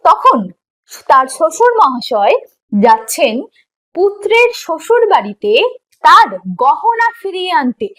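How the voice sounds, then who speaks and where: High-pitched, animated woman teaching. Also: low-quality recording. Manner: didactic, asks questions and answers them herself. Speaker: teacher of an exam preparation course. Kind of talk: explanatory online lesson.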